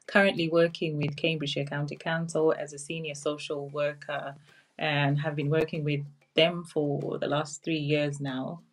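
A woman's voice: sits low, little pitch movement, moderate pace. A woman in her forties with a Zimbabwean accent, giving a candid, reflective personal account to camera at home. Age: 40s. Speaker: interview guest, social worker. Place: small curtained room at home, indoors.

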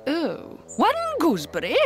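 A woman's voice: high voice, wildly swooping pitch, a little slow, exaggerated voice. A woman voicing a kindly, fussy woman farmer in a children's cartoon, motherly and sing-song. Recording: music playing underneath.

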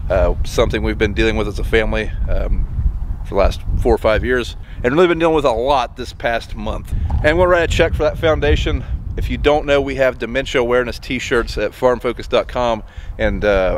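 An American man in his thirties, a farmer explaining things to viewers in a casual, unscripted, folksy way. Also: mid-range voice. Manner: plain-spoken, unscripted, folksy. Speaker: farmer and video host. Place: inside a large fabric hoop barn. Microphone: handheld camera at arm's length.

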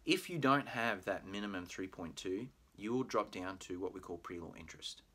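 Deep-voiced Australian man explaining calmly. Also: moderate pace, slightly rough. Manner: friendly, explanatory, with frequent "uh" fillers. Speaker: pre-law program manager. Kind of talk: recorded admissions presentation. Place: home room with bookshelf, indoors.

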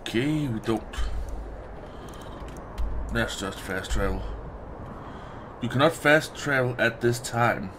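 A man giving casual, excitable, sweary commentary as he hosts a gaming let's play. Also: medium pitch, takes it slowly, long pauses, noisy background. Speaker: gaming video host. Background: fantasy video game audio.

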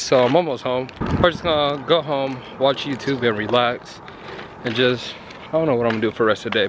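A young man in his twenties, hoarse after yelling at a concert, talking excitedly and rambling in a casual vlog, filmed on a phone held at arm's length. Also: mid-range voice. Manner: rambling, excited, repeats himself. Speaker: vlogger. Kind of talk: casual vlog talk to camera. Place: bedroom at home, then walking on a suburban street outdoors.

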